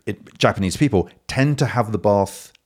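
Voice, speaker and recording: A man's voice: on the low side, moderate pace. A man hosting an interview, curious and enthusiastic, asking follow-up questions and adding frequent short affirmations. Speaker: interviewer host. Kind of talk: conversational interview.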